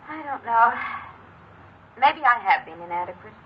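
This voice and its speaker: Old radio drama, dull sound, middle-aged mother, clipped, formal, anxious, emotionally distant, animated intonation. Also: on the high side, moderate pace. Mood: worried, doubting. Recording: muffled, noisy old radio recording.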